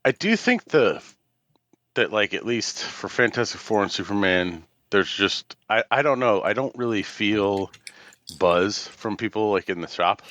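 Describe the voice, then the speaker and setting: A man's voice: fairly low pitch, animated, measured pace, a bit bright, dry recording. A man chatting casually as a podcast co-host. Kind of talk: casual chat. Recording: muffled, dry, band-limited microphone sound.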